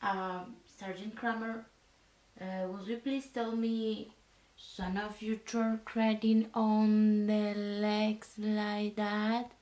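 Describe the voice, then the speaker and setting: A woman playing an earnest resistance fighter in a soft-spoken military ASMR roleplay, with halting, formal delivery. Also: medium pitch, little pitch movement. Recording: echoey room, distant from mic.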